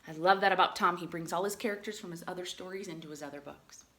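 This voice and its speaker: A woman reading a picture book aloud to young children in an expressive way, adding chatty explanations and questions for her listeners. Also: on the low side, fast talker. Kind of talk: animated read-aloud with chatty asides and questions.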